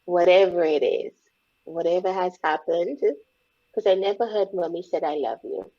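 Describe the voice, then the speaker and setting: A woman talks in a low, gravelly, husky voice. She is mellow and reflective as she shares her story. The audio is dull and lo-fi. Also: moderate pace. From Caribbean, born in Grenada, raised in Trinidad. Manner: reflective, speaks openly about hard memories. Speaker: guest, empowerment coach. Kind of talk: candid interview conversation.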